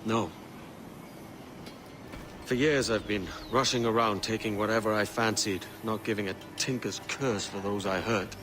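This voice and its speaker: An actor playing a remorseful, plain-spoken pirate captain in a video game cutscene. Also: male voice, on the low side, steady tone, measured pace, background noise, lightly acted. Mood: rueful, weary. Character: weary, remorseful pirate captain, adult man.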